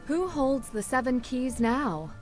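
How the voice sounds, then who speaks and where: A woman's voice: high voice, lively intonation, takes it slowly, soft background music. An actress playing a polite, inquisitive young female amateur detective in a video game. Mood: curious. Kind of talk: video game character dialogue.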